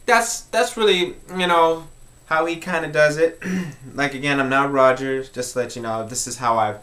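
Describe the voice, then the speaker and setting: A man's voice: mid-range voice, normal speed, fairly bright tone. Guy walking through a music lesson, informal, explaining stuff as he goes. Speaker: music tutorial host. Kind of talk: informal instructional talk.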